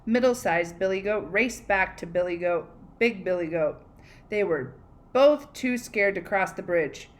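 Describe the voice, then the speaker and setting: A woman teacher reading a storybook aloud to children in an expressive, conversational way, pausing to prompt them with questions. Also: medium pitch.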